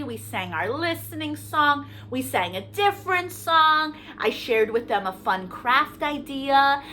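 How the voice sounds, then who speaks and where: A woman hosting story time for young children, animated and sing-song, talking to them with questions and encouragement. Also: high voice, a little hiss or hum. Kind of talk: children's storytime read-aloud with singing and asides.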